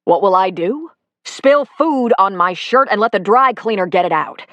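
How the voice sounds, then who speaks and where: A woman's voice: mid-range voice, expressive, moderate pace, a bit bright, no room sound, muffled recording, natural voice. A woman reading the part of a fed-up middle-aged husband who is walking out, blunt and exasperated, swearing. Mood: angry.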